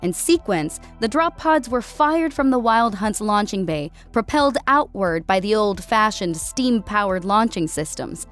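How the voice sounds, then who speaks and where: A woman delivers scripted story narration in a mid-pitched voice. She reads prose as an audiobook narrator. Music plays softly behind her. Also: expressive, a little slow, few pauses. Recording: music bed under voice. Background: background music.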